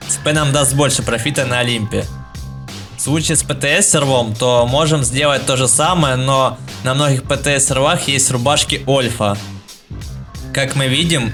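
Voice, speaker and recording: A man narrating a casual gaming tutorial in an informal, slangy, explanatory way, with a speech impediment on the L sound. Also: mid-range voice. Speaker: video game guide creator. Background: background music.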